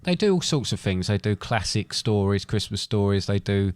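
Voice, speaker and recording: A man's voice: fairly low pitch, big pitch swings, normal speed, darkish tone, no room sound, clean studio sound. A middle-aged British man co-hosting a podcast in casual, bantering chat. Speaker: podcast co-host.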